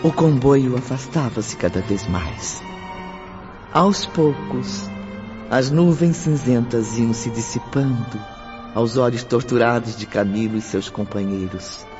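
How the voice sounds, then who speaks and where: Male narrator, solemn and measured, music bed underneath. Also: medium pitch. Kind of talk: dramatic scripted narration. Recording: dull lo-fi audio with music bed.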